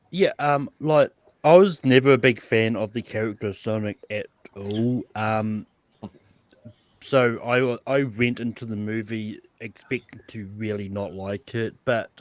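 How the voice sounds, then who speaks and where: A man chatting casually as a panelist, in a hesitant, rambling way. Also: medium pitch. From outside the United States. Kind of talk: casual chat.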